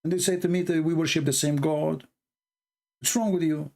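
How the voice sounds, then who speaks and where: Blunt Arabic-accented man, gravelly. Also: fairly high pitch, fairly even intonation, normal speed, darkish tone. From Middle East. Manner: blunt, mocking, emphatic repetition, broken grammar. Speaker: debate show host. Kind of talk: casual livestream commentary.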